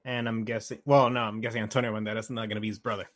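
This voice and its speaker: A man's voice: fairly low pitch, flat delivery, rapid-fire, fairly bright tone. A man co-hosting a casual chat about games and movies, conversational and thinking aloud as he goes. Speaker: podcast co-host.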